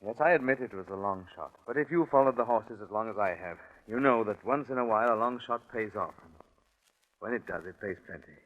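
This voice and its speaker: An actor playing a desperate, smooth-talking English racetrack gambler, telling the story with wry world-weariness in 1940s radio style. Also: male voice, on the low side, a little fast, natural voice. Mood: resigned, bitter. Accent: British. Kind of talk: 1940s radio acting, first-person crime narration. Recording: tinny, band-limited 1940s radio recording.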